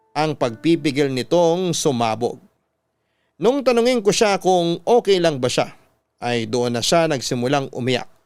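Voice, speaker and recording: A man's voice: fairly high pitch, a little mellow, smooth, no room sound. A man narrating a scripted, dramatic first-person love story as a radio storyteller. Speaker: narrator reading a letter sender's story. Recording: dry studio voice over music.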